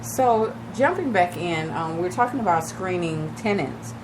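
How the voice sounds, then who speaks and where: A woman radio host with a raspy, slightly shaky voice from recently losing it, chatting casually and advising listeners directly. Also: fairly low pitch, expressive, a little slow, background noise. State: recovering from lost voice, a little shaky. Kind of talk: casual radio talk on real estate. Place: radio studio.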